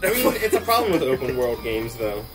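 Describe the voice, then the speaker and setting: A man's voice: mid-range voice, sing-song, fairly quick, faint music behind. A man in his thirties chatting with friends in a wry way, laughing as he talks. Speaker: guest friend. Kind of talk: casual chat. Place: indoor room with wood wall backdrop.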